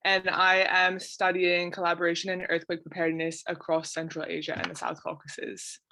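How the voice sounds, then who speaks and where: A woman's voice: fairly low pitch, flat delivery, normal speed, sharp tone. A woman PhD student introducing herself in a friendly way over a video call.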